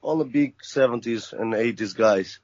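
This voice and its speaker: A man who grew up in Albania talks casually as a band guest, easygoing and a bit halting. The sound is dry and slightly muffled. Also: mid-range voice, a little mellow, no echo. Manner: easygoing, chatty, hesitant restarts. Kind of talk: casual interview chat.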